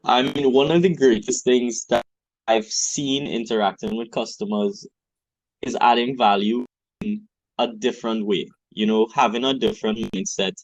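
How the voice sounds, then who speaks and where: A man from Trinidad, a business founder speaking as a podcast guest in a calm, earnest way. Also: medium pitch, normal speed, no room sound. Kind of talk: conversational interview. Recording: dull dry lo-fi sound.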